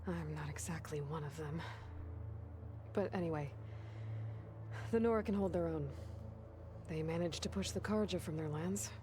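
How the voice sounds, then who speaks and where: A woman's voice: deep voice, normal speed, pauses often, noisy background, close to an everyday voice. A woman playing a determined, guarded young heroine in a video game, with a direct, probing manner. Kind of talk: video game dramatic acting. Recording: music behind the voice.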